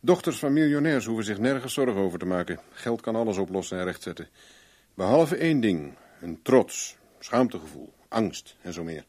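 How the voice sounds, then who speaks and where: Shrewd, blunt private investigator. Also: male voice, fairly low pitch, expressive, moderate pace, darkish tone, husky. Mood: knowing, pressing. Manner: probing, wry, plain-spoken. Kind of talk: Dutch radio play acting.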